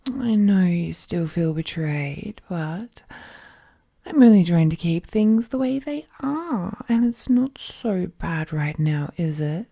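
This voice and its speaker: Woman performing an all-powerful lovesick female captor, audio drama villain, slow unhurried pace, breathy mellow voice, tenderly menacing. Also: lowish voice, lively intonation, full character voice. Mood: coaxing, tender. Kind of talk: scripted audio roleplay, intimate dramatic monologue.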